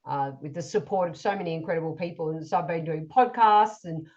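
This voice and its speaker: A woman in her thirties with a husky voice, telling her story with quick enthusiasm as co-host of a casual podcast. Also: deep voice, a little mellow. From Australia. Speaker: co-host and author. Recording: dull lo-fi audio.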